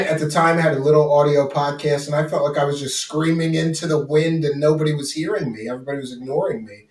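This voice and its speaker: A man in casual panel chat, joking and animated, talking from a huge room. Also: medium pitch. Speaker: podcast guest. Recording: roomy reverb, distant from mic.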